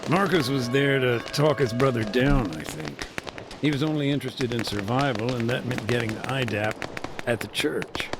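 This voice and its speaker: An actor playing a weary, earnest humanitarian worker recounting a war in a measured, hesitant way for a video game. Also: male voice, medium pitch, lively intonation, music in the background, natural voice. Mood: somber, weary. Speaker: humanitarian aid worker being interviewed. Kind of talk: naturalistic video game dialogue, interview recollection.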